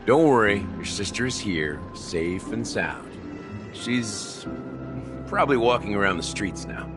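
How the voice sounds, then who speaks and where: An actor playing a smug, playful young schemer in a game, teasing and theatrical. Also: male voice, on the low side, sing-song, a little slow, music in the background. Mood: smug taunting. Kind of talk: scripted game voice acting.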